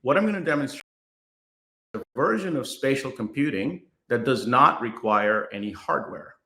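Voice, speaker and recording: Bright-voiced man giving a remote keynote. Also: medium pitch, expressive, roomy sound. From Guyana. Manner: measured, with frequent fillers and restarts. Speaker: keynote speaker. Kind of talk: remote keynote presentation with demo. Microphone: computer mic on video call.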